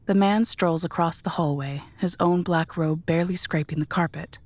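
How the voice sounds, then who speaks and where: Low, husky woman narrator. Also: fairly even intonation, darkish tone, no echo. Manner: measured, descriptive storytelling. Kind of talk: scripted narration of a horror audio drama.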